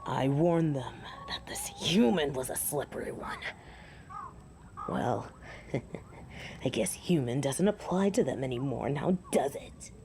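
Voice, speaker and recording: A woman's voice: a bass voice, expressive, a little mellow, exaggerated voice. A woman playing a proud, sardonic female werewolf alpha in a theatrical fantasy audio drama. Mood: dry, menacing. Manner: haughty, theatrical, deliberate. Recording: clear background noise and ambience.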